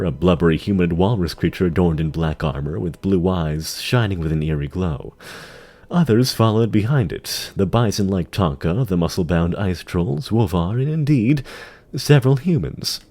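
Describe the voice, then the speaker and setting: A man giving dramatic scripted narration for a fantasy tale, grave, deliberate and theatrical. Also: fairly low pitch, mellow, breathy edge. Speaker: narrator of a fan audio drama.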